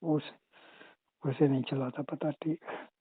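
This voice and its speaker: Guy playing flirty jealous brother in law, kinda monotone, secret phone chat, thin phone audio. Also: on the high side, slow, husky, no echo. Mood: possessive, teasing. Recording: tinny narrow phone-call audio.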